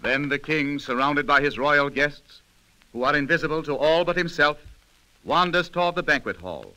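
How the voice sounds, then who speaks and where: A man's voice: on the high side, moderate pace, pushed and bright, poor audio. A man giving scripted, dramatic narration in the style of 1930s radio. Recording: 1930s radio recording, music underneath.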